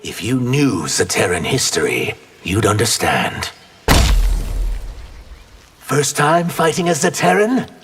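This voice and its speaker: An actor playing a wary, earnest reptilian humanoid warrior in a video game, speaking in a dry, guarded way. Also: male voice, medium pitch, very animated, slow, vocal fry, long pauses, a bit bright, a little hiss or hum, full character voice. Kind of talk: video game character dialogue.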